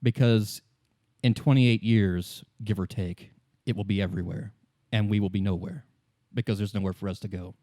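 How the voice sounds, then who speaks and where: Male game master, deep-leaning and soft-edged, recorded close and dry; solemn, deliberate lore exposition. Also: fairly even intonation, no room sound.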